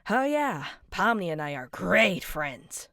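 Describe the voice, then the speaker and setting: Girl doing a snarky dismissive cartoon rabbit guy, swings all over in pitch, a bit hoarse. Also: very animated, slow pace, pushed and bright, no echo, clean studio sound, exaggerated voice. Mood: sarcastic. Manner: sarcastic, dismissive, mocking laughs. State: sometimes hoarse and nasal from being sick. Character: smug sarcastic male cartoon rabbit. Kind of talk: fan voice acting for an animation storyboard.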